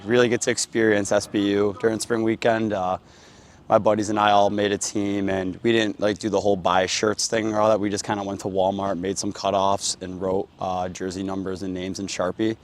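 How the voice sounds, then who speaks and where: A man's voice: lowish voice, flat delivery, fairly quick, a little hiss or hum. A young man, a college senior, chatting casually in an interview. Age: young adult. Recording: faint background ambience.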